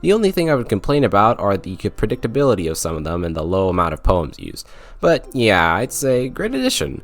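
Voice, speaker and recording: A man's voice: fairly low pitch, wildly swooping pitch, brisk. A man narrating a scripted video game review in a wry, sarcastic way.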